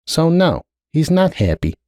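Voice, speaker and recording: A man's voice: on the high side, sing-song, a little slow, mellow, dry recording, clean studio sound, voice pushed up. A man voicing a guarded, brooding middle-aged pony mare, a blunt aunt with a terse, world-weary way of talking, in a dramatic story reading. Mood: somber, pensive. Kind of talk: dramatic audiobook character reading.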